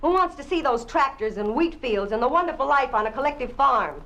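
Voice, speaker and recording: A defiant, sharp-tongued 1950s housewife. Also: female voice, high-pitched, lively intonation. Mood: bitter. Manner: cutting, sarcastic, quick retorts. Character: defiant outspoken woman Jane, bitter and sharp-tongued. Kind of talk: 1950s radio drama acting. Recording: old radio broadcast, slightly dull.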